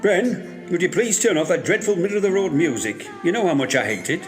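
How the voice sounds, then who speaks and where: A man plays a stern old man in an audio drama, a grumpy regular who talks in curt, formal phrases. His voice sits fairly high for a man and swings up and down with disapproval. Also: lively intonation, fairly quick, soft background music. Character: grumpy, unsmiling old male café regular, pedantic about English. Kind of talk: amateur audio drama acting.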